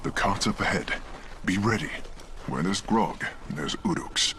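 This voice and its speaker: An actor playing a resolute, commanding human rebel leader in a fantasy video game cutscene. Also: male voice, low-pitched, expressive, unhurried, sharp tone, slight background noise, exaggerated voice. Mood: grave, purposeful. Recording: dramatic music behind.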